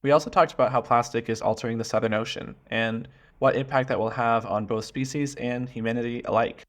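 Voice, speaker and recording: A man hosting a podcast, reading a scripted introduction and then asking interview questions in a clear, earnest, friendly way. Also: medium pitch, fairly even intonation, fairly quick. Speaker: podcast host.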